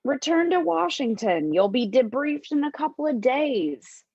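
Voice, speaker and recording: A woman reading the part of a curt, businesslike FBI official in an amateur table read, formal and measured. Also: sits high, very animated, darkish tone, dry recording, lo-fi sound, heavily acted.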